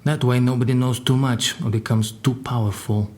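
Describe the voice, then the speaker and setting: An actor playing a crime boss's guarded secretary in an audio drama, telling his story in a dry, deliberate way. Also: medium pitch, fairly even intonation, darkish tone, close to an everyday voice. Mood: dry, confiding. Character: formidable Russian crime boss's secretary, guarded male survivor. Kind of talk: audio drama dialogue and narration.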